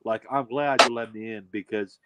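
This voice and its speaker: A man's voice: on the low side, fairly even intonation, normal speed, pushed and bright, no room sound. A man from Bosnia with a Bosnian accent, chatting casually as a stream guest over a call, full of fillers and repeated words. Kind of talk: casual chat. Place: Washington home, on a stream call.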